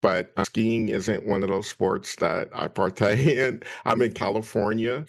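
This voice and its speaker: A man's voice: lowish voice, fairly even intonation, unhurried, creaky. A man hosting a podcast interview, upbeat and encouraging in casual conversation. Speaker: podcast host.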